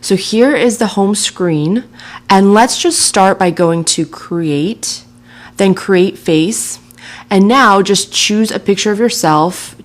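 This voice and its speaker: A woman narrating a software tutorial in a casual, chatty way, laughing lightly now and then. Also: fairly low pitch. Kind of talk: screen-recording tutorial narration.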